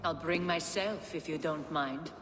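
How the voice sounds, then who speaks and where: Proud scornful goddess, lowish voice, cool and cutting, reverby game cutscene. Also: female voice, unhurried, a bit bright. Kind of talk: dramatic video game acting. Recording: long reverb, faint ambient noise.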